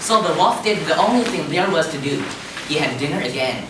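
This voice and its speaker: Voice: medium pitch, animated, fairly quick. Storybook narrator, very echoey and distant. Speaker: reader's theater narrator. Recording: very echoey, distant, noisy room.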